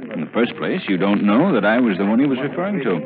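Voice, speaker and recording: A tinny vintage radio broadcast carries an urbane young gentleman skeptic. His voice sits fairly low and moves animatedly. He is wry and teasing, in 1930s drama style. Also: big pitch swings, quick pace, crowd noise. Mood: skeptical. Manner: dry, teasing, sardonic. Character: wealthy, urbane young man about town, wry skeptic.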